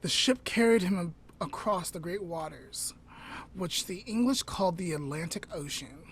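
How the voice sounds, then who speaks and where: A woman podcast host telling a story aloud informally, in a measured way with dramatic pauses. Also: fairly low pitch, lively intonation, husky.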